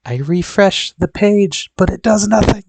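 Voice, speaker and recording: A man's voice: high voice, a little slow, vocal fry, noisy background. A young man with a sniffly, congested voice from a cold, chatting casually as a live-stream host in a rambling way full of fillers and restarts.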